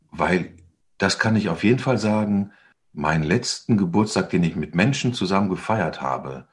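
Thoughtful fifty-year-old man, lowish voice. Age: 50s. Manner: thoughtful, hesitant with fillers. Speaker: guest, stage actor and director. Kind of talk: reflective interview answers.

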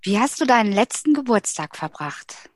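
A woman interviewer asking short personal questions in a plain, even way. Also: fairly high pitch, normal speed.

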